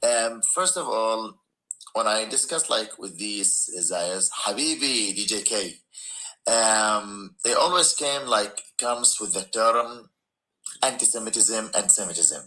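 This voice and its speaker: A man's voice: fairly low pitch, lively intonation, takes it slowly, bright, forceful tone, low-quality recording. A man speaking English as a non-native language, calling in to a live stream and talking earnestly and carefully. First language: not English. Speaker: caller. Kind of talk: live stream call-in talk.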